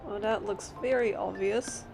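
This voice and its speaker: A woman's voice: mid-range voice, steady tone, a little slow, vocal fry, over music. A woman giving casual commentary as she plays through a game. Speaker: gamer commenting on playthrough.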